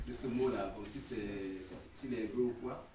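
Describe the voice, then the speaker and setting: A man in the audience putting hesitant, halting questions to a teacher. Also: medium pitch, fairly even intonation, warm tone, slight background noise. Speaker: audience member asking questions. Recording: echoey room, far from mic.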